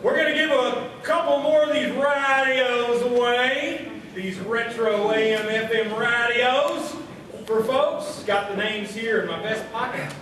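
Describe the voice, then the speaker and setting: Man playing a high-pitched folksy radio host, echoey hall. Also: measured pace, a bit bright. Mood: folksy showman. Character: folksy small-town radio show host, male. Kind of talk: live old-time radio variety show comedy. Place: live show before audience in hall. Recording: echoey hall, distant, noisy live stage.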